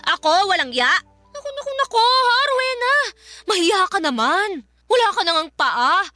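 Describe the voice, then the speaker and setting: A woman's voice: very high-pitched, big pitch swings, moderate pace, sharp tone, faint music behind, heavily acted. A Filipino woman playing a vengeful young daughter in a radio drama, taunting her father's mistress with sarcasm and scorn. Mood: scornful taunting.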